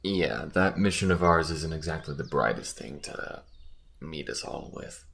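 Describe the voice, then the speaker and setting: A man playing a gruff alien soldier who gets easily flustered and halting, in a scripted audio roleplay. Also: very deep voice, expressive, a bit bright, a little hiss or hum, heavily acted. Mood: grim, wary. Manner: halting, stammering when flustered.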